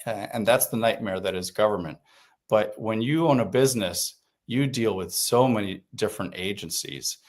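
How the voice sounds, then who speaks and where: Man, low voice, native Spanish speaker, from Puerto Rico, reflective guest, restarts often. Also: normal speed. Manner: reflective, frequent restarts and fillers. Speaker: podcast guest. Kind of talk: conversational interview.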